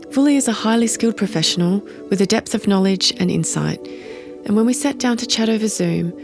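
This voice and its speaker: A woman from Australia hosting a podcast, reading a formal, measured intro and then interviewing a guest. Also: medium pitch, vocal fry. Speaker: podcast host.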